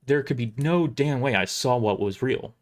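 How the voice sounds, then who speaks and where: A man's voice: medium pitch, moderate pace. A man reading first-person science fiction narration live, now and then stumbling over the script and rereading words. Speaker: storyteller.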